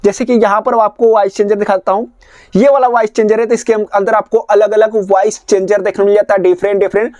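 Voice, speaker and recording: A man's voice: high voice, level tone, brisk, a little mellow, no echo. A man narrating a step-by-step phone tutorial in a repetitive, instructional way. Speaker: tech tutorial host.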